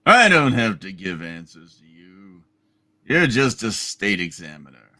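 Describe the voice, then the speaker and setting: A man's voice: lowish voice, sing-song, measured pace, sharp tone, full character voice. A man playing a scheming, imperious rich villain with a haughty, menacing delivery in a hammed-up radio play.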